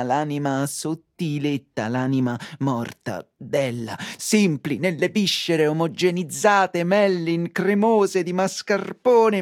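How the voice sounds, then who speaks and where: A man's voice: a little high, no echo, studio quality. An actor with a Roman accent playing a hapless, devout young everyman in a satirical stage comedy, declaiming with mock solemnity. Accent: Roman Italian. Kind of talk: theatrical satirical comedy performance.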